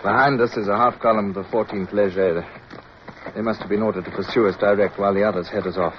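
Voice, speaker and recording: Actor as a low-voiced, resolute naval officer. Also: male voice, fairly even intonation, quick pace, lightly acted. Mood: urgent. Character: resolute British naval officer, commanding yet tender, recounting his war. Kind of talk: radio drama acting and first-person narration. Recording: muffled, noisy old radio recording.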